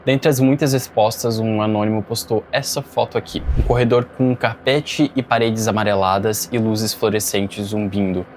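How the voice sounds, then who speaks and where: A man's voice: on the low side, fairly even intonation, moderate pace, hardly any pauses, darkish tone. A Brazilian man hosting and narrating an informative scripted video essay. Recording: faint background noise.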